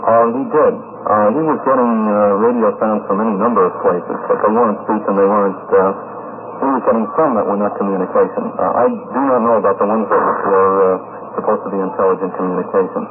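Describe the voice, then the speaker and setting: A man's voice: lowish voice, steady tone, brisk, phone-quality sound, background noise. A man giving careful, hesitant technical explanations in an interview, on a vintage 1950s radio recording. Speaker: interviewed radio-signal experimenter.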